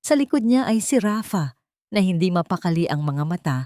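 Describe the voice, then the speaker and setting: Woman, Filipino accent, story narrator, scripted dramatic delivery, lowish pitch, dry sound. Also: animated, brisk, warm tone, dry recording.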